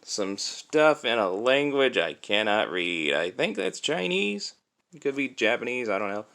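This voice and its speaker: A young man giving a casual, unscripted toy review in a chatty, halting way, recorded on a cell phone. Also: mid-range voice, big pitch swings, creaky, fairly bright tone, a little hiss or hum. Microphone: cell phone used as camera.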